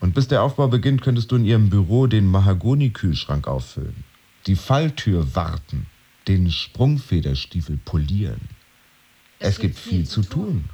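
An actor playing a brooding jobless man in an introspective radio drama monologue, measured and dry, often sinking towards a whisper. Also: sits low, very animated, warm tone, breathy edge, lo-fi sound, heavily acted. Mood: urging. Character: brooding man who lost his job, pretending to work.

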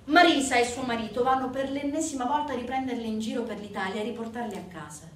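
A woman performing a dramatic theatrical monologue, intense and emphatic, swinging from hushed to loud. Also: fairly high pitch, quick pace. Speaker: stage actress narrating a monologue. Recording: roomy, distant stage recording with noise.

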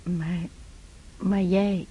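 A gentle young noblewoman named Marja. Her voice is mellow and dark with a heavy husky grain. She speaks softly. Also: fairly low pitch, little pitch movement, drawn-out words, exaggerated voice. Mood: teasing.

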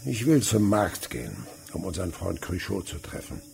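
An actor in a radio drama playing an old, miserly patriarch with a curt, commanding manner. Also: male voice, sits low, sing-song, moderate pace, gravelly, a little mellow, a little hiss or hum, heavily acted. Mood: gruff, offhand.

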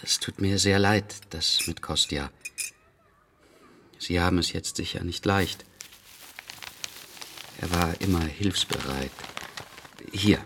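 A man's voice: low voice, takes it slowly, pauses often, fairly bright tone, slight background noise, full character voice. An actor playing a withdrawn, lonely man in a radio drama, speaking in a subdued, hesitant way. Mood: polite, hesitant. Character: Tolja, withdrawn, lonely man in a crime story. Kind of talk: German radio drama acting.

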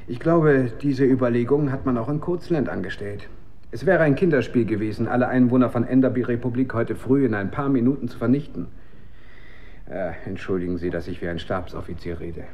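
A man's voice: medium pitch, muffled recording, close to an everyday voice. An actor playing a disillusioned male scientist in a radio drama, reflective, hesitant and articulate. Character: brooding, disillusioned male scientist at a weapons works. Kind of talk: German radio drama acting.

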